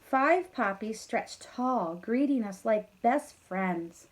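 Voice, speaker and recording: A woman with a fairly high voice reads a picture book for young children. She is expressive and encouraging, speaking straight to her listeners in the manner of a teacher, with casual, chatty comments. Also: slow pace. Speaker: teacher reading a bedtime story to pupils. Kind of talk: animated children's read-aloud with casual chatty asides.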